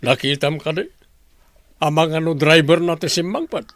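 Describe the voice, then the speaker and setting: A man's voice: fairly high pitch, moderate pace, a bit bright, no echo, natural voice. An actor playing an easygoing young man, the boss's close friend, in an Ilocano radio comedy-drama. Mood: inquiring.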